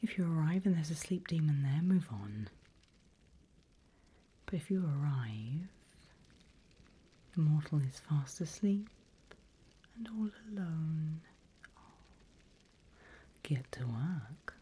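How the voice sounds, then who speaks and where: A woman voicing a seasoned, wry demon instructor in a slow, breathy ASMR whisper, guiding a trainee with dry humour. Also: sits low, animated, warm tone, heavily acted. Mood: softly sinister. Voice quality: breathy, mostly unvoiced whisper. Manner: slow, deliberate, dryly humorous.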